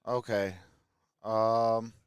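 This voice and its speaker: Slow-talking American man with a clear voice. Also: fairly low pitch, flat delivery. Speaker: sports livestream host. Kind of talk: casual livestream chat to viewers.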